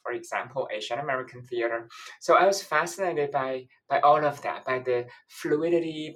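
A woman's voice: rumbling low, rough recording. A woman from Taiwan, a professor and author, giving thoughtful interview answers that list ideas, with frequent restarts.

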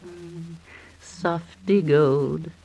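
Slow, theatrical old slum woman. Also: deep voice, fairly even intonation, warm tone, husky, exaggerated voice. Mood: coaxing. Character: superstitious, coaxing older Victorian London slum woman. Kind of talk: old-time radio drama acting. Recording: noisy vintage radio recording.

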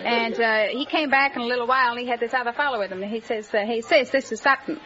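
A woman's voice: fairly high pitch, fast talker, a crowd in the background. A woman from New England, a quiz contestant telling a rambling story with many hesitations. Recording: lo-fi 1950s radio broadcast with loud studio audience.

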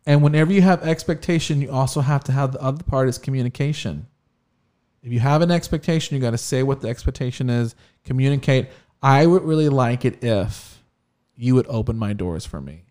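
A man's voice: medium pitch, brisk, dark tone. A man on a podcast, giving blunt, profane advice in casual chat. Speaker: podcast co-host.

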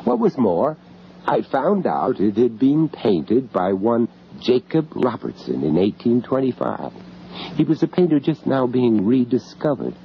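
An urbane gallery owner telling his own eerie tale in a radio horror drama. He is reflective and a little hesitant, with a widely moving, expressive voice. The recording is dull, with faint noise behind it. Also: medium pitch, a little slow, vocal fry. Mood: measured, intrigued. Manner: hesitant, reflective, with chuckles in dialogue. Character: urbane, uneasy foreign art gallery owner in London, recounting horror. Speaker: narrator and lead character of a radio horror story. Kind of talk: first-person radio drama narration. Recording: muffled, noisy old radio drama recording.

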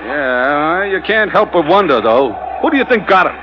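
A man's voice: fairly high pitch, big pitch swings, moderate pace. A man playing a blunt, wisecracking, loyal first mate in an old radio sea adventure, folksy and dry. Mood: dry, musing. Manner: blunt, folksy, dryly joking. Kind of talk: 1940s radio adventure acting. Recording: muffled old radio recording.

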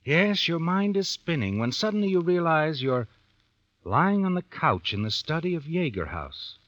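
An actor playing an all-knowing, sinister narrator in an old radio suspense drama, ominous and insinuating. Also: male voice, on the high side, very animated, moderate pace, a bit bright, no room sound. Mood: insinuating, suspenseful. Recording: muffled vintage radio recording.

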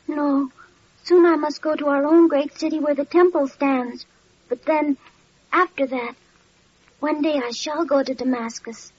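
A woman playing a gentle, earnest young boy in a classic radio drama, with solemn, simple archaic delivery. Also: high voice, steady tone, unhurried. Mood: quietly certain. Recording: dull, noisy old radio recording.

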